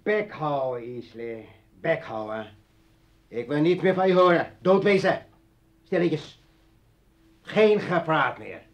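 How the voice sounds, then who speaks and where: A man's voice: on the high side, animated, slow, pauses often, muffled recording. A man full of bitter menace in a radio drama. He seethes and broods, turning mocking as he confronts his former partner. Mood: cold fury. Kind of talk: radio play acting.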